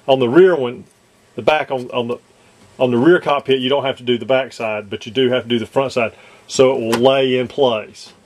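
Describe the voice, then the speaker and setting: A man casually showing how to fit a model cockpit kit in a workshop, talking haltingly with many fillers and restarts. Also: mid-range voice, fairly even intonation. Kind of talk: casual hands-on demonstration. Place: workshop, indoors.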